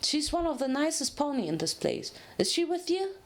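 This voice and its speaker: A woman's voice: high voice, sing-song, moderate pace, pitched above the performer's own voice. A woman acts out a little baby dragon boy in a storybook reading. The voice is raised and childlike, innocent and polite, with simple plain-spoken phrasing. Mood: cheerful. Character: small young male baby dragon, innocent, captive, polite.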